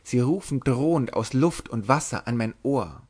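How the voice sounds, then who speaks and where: A man's voice: mid-range voice, lively intonation, moderate pace, a little mellow. A man reading a storybook, voicing a trembling young noble lady who pleads anxiously and devoutly. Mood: fervent, troubled. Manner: stammering, fearful, fervent pleading. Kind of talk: dramatic literary audiobook reading.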